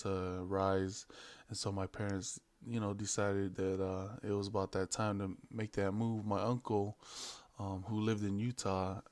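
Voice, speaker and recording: A man's voice: deep voice, a little mellow, dry recording. A man in his late twenties from American Samoa and Hawaii, talking in a laid-back, hesitant, rambling way in an unscripted vlog. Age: late 20s. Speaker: vlogger. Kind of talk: casual unscripted vlog chat.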